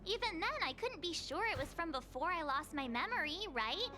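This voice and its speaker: Bubbly anime game girl, super high voice, really expressive, curious and a bit naive. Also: brisk, over music. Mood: puzzled. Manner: expressive, girlish, exclamatory. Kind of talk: scripted anime-style video game dialogue.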